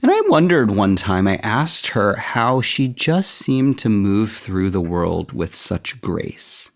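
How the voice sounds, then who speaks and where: Interview guest: man, middle-aged, low voice with gravelly fry, reflective and candid. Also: lively intonation, slow. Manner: thoughtful, candid, self-deprecating.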